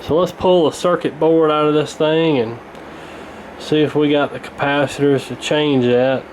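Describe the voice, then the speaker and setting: A man narrating a repair casually in an unhurried, halting, matter-of-fact way. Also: a little high, steady tone, a little mellow, a little hiss or hum, low-quality recording. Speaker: radio repair hobbyist presenting.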